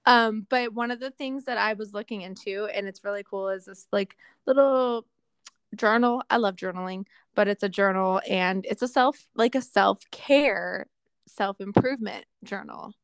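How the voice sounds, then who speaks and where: A woman's voice: mid-range voice, moderate pace, clear, resonant tone, dry recording, studio quality. A woman in her late thirties chatting openly and with self-deprecating humor, with lots of fillers. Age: 30s. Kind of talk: casual chat.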